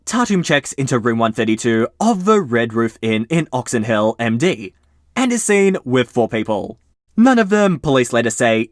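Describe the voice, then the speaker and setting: A man hosting his channel, reading mystery posts aloud and breaking off for casual asides in a lively storytelling way. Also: medium pitch, dry recording, clean studio sound.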